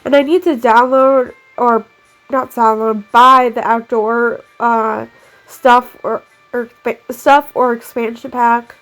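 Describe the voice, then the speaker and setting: A woman's voice: a little high, flat delivery, slow pace, fairly bright tone, poor audio. A young woman with a stuffy, nasal cold, chatting casually in a rambling way while narrating her game build. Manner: chatty and rambling, lots of "like" fillers. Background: faint background music.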